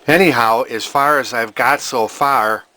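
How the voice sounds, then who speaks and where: A man's voice: mid-range voice, measured pace, pushed and bright. A man narrating an electronics repair casually, thinking aloud in a hesitant, rambling way. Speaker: vintage electronics repair hobbyist.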